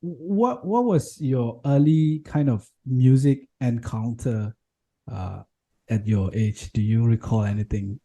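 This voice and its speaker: A man's voice: mid-range voice, slow pace, warm tone. A man hosting an interview, reacting with enthusiasm and asking questions. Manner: enthusiastic, short reactions of amazement. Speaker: interviewer, host. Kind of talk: casual interview.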